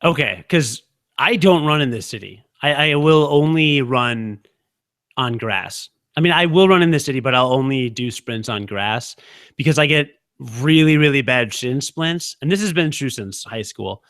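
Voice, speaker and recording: A man in his thirties co-hosting a podcast, chatting casually in a quick, halting way full of fillers. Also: medium pitch, lively intonation, a bit bright, no room sound, clean studio sound. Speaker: podcast co-host. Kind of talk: casual chat.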